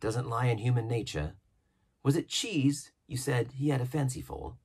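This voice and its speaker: A man voicing a wry, kindly ship's doctor in a storybook, with measured, genteel, conversational delivery. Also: mid-range voice, expressive, a little mellow, breathy edge. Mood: wry, confiding. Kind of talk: storybook character reading.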